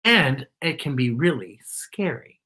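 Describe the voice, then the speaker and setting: A woman's voice: a bass voice, lively intonation, measured pace, pushed and bright, slightly rough. A woman hosting a children's storytime, reading a picture book aloud with chatty asides and explaining things expressively as if to young children.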